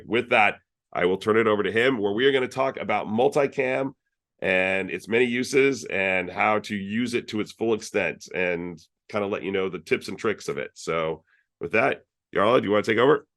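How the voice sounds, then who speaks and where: A man's voice: low-pitched, a bit bright. A man hosting an online user group meeting, chatting casually through announcements with many fillers and restarts over a video call.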